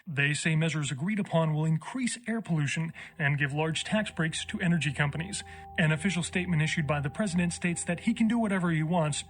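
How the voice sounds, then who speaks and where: A male actor's television newsreader in a video game, pitched a bit high for a man. He delivers scripted bulletins formally, with measured, matter-of-fact authority. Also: natural voice. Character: brisk male TV news anchor, matter-of-fact. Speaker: news anchor. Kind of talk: scripted broadcast news reading.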